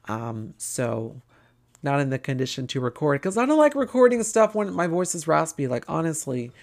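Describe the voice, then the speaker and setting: A woman with a low-pitched voice chats casually as a podcast host. Her voice is raspy and worn out. She rambles and pauses often as she talks. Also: big pitch swings, a little mellow. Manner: rambling, halting, with frequent pauses. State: tired voice.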